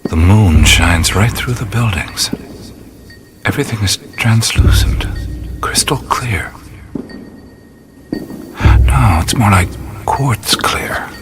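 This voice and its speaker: A hushed, awed dream-wanderer. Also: male voice, fairly low pitch, animated, slow pace, long pauses. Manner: hushed, awed, often whispering. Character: adult man adventurer narrating a dreamlike vision. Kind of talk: first-person radio play narration. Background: music.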